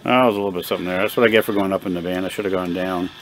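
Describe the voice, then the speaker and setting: A man giving a casual hands-on demonstration, thinking aloud in a halting, unhurried way. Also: sits low, steady tone, fairly bright tone. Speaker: hobbyist radio repairer demonstrating equipment. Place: indoor home electronics workbench. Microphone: handheld camera mic. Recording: noisy handheld camera recording in workshop.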